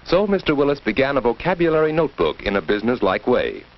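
A man's voice: medium pitch, very animated, fast. An American man reading scripted narration for a 1940s educational film, on a muffled period soundtrack.

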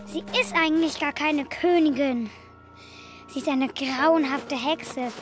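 A woman's voice: sits high, fairly even intonation, slow pace. A woman playing an earnest, compassionate, trusting little girl in a German audio drama. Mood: grave. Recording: music under the voice.

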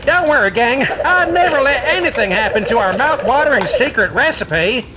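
A man voicing a protective cartoon animal with a theatrical, drawn-out, heroic delivery. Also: very high-pitched, expressive, very noisy. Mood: confident, vowing. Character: protective cartoon animal guarding a secret recipe. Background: music.

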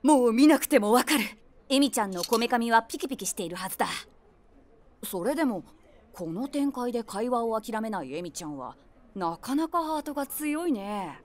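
A woman's voice: a little high, animated, fairly quick, a little mellow, lightly acted. An actress playing a nosy, imaginative middle-aged woman who narrates a comic audio drama with wry, theatrical asides. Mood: wry, teasing. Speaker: narrator and main character.

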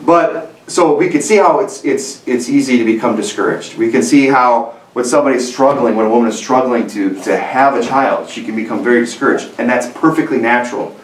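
A man's voice: mid-range voice, fairly quick, hardly any pauses, a little hiss or hum. A man in his thirties preaching a sermon in a small church room, earnest and repetitive, often restarting his phrases. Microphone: camera mic from a distance. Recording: roomy sound from a distant mic.

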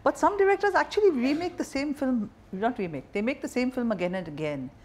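A middle-aged woman with an Indian accent interviews in a conversational, probing way. She talks quickly, and her pitch moves in lively swings. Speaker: interview host. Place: seated indoors in a wood-panelled bar set.

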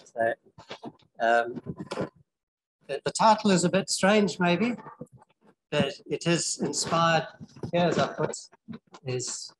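A man's voice: fairly high pitch, expressive, slow, long pauses, slight background noise, rough recording. An older man giving an informal lecture in a lecture theatre, chatty and rambling with frequent restarts. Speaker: guest lecturer.

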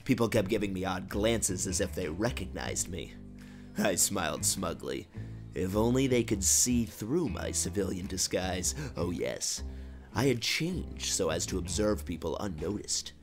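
A man's voice: low voice, lively intonation, unhurried. A man giving dramatic, self-serious first-person narration of a scripted comic story in a theatrical manner. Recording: music under the narration.

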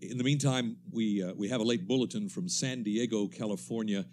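An actor as a lowish-voiced formal radio announcer. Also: male voice, a little mellow, lightly acted. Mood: composed, formal. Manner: formal, measured, slightly hesitant. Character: composed, formal male radio studio announcer. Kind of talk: 1930s radio acting, announcer. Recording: faint hum and noise.

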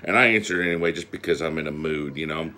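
A man's voice: a bass voice, quick pace, pushed and bright. A man, chatting casually to his phone camera in a blunt, folksy way, telling stories. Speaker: amateur video blogger. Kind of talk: casual chat to camera, storytelling. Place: small indoor room with panel ceiling. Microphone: phone at arm's length.

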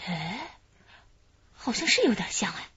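A woman's voice: on the high side, very animated, a little slow, pushed and bright, full character voice. An actress playing a friendly, curious policewoman in a radio drama. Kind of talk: Chinese radio drama acting. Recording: muffled track with clear background noise.

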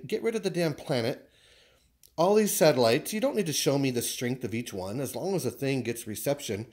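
Man, American accent, mid-pitched voice, casual vlogger, rambling, halting, thinking out loud, at home. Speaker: vlogger showing purchases. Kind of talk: casual unboxing chat. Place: living room at home.

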